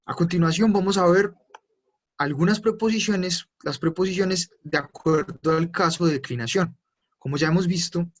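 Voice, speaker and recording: A man teaching German in an online class, explaining patiently step by step with frequent fillers. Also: fairly high pitch. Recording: dull lo-fi online class audio.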